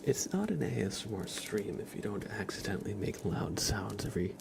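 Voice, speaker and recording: Male ASMR host, low gravelly fry, soft whispery delivery, casual and gentle. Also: sing-song. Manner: gentle, unhurried, casual chat with viewers.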